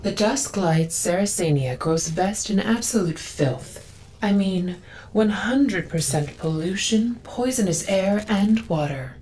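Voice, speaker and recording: An actress playing a reverent, solemn woman devoted to a sacred forest, speaking in a measured, devout way in a video game. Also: mid-range voice, lively intonation, warm tone, faint music behind, rough recording. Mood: musing, disdainful. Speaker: quest-giver. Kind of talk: dramatic video game voice acting.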